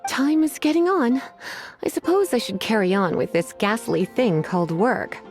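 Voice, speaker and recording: A woman's voice: a little high, very animated, normal speed, darkish tone, faint music behind. A sultry, teasing witch librarian.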